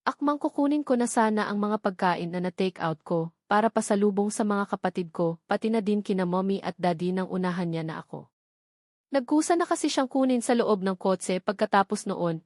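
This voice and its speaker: A woman's voice: mid-range voice, a little mellow, no room sound, clean studio sound. A woman narrating a novel in the first person, reading from a script in a storytelling style. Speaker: audiobook narrator.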